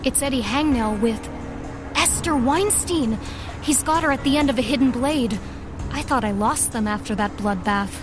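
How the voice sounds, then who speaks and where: An actress playing a defiant teenage vigilante in an audio drama, sarcastic, punchy and impatient. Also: female voice, high-pitched, normal speed, over music, close to an everyday voice.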